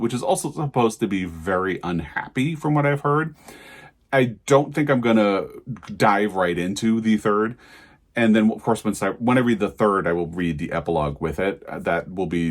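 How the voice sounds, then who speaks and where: Low-voiced man chatting about books. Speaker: book reviewer vlogger. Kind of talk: casual book review monologue.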